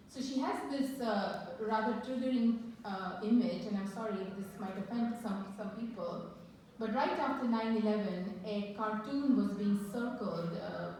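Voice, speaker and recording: A woman giving an academic panel talk at a conference, measured and thoughtful, often posing questions to the audience. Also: mid-range voice, a little mellow, slight background noise. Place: conference hall. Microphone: microphone that cut out mid-talk. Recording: echoey hall, from far off.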